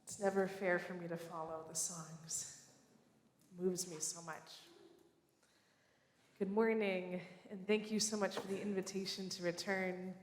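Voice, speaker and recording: A low-pitched voice preaching an earnest, measured sermon. The sound rings around a large church hall, and a long reverb trails after each phrase. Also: female voice, slight background noise. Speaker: guest preacher. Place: church sanctuary.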